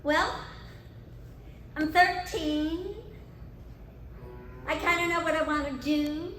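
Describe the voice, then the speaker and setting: Woman putting on a bubbly high-pitched teenage girl. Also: takes it slowly, fairly bright tone, faint music behind. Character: bubbly, boy-crazy thirteen-year-old girl, fun teenager. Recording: echoey hall, distant, noisy.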